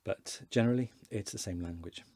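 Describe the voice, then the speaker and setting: A man in his forties, a fluent Welsh speaker, giving a relaxed, chatty beginner lesson from his desk with hesitations and repeated words. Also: sits low, a little fast, husky, no room sound. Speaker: Welsh language teacher. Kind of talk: casual online lesson.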